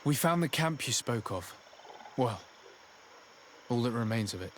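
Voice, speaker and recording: A man's voice: medium pitch, expressive, slight background noise, close to an everyday voice. An actor as a formal, earnest young male envoy. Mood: probing. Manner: formal, measured, questioning. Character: polite, earnest male envoy investigating in a fantasy land. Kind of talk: video game character acting.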